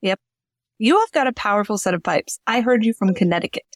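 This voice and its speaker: A woman's voice: medium pitch, animated, fast talker, dry recording, studio quality, lightly acted. A woman playing a wry, matter-of-fact imaginary ghostly friend in amateur comic radio theater. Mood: teasing, counseling. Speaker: podcast co-host.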